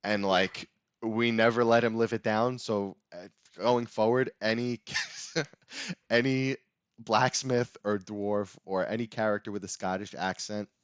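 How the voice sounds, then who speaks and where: A man's voice: fairly low pitch, measured pace, clean tone, no echo. A man hosting a casual tabletop gaming talk show. He speaks in a loose, rambling way and laughs often. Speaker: podcast host. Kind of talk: casual chat.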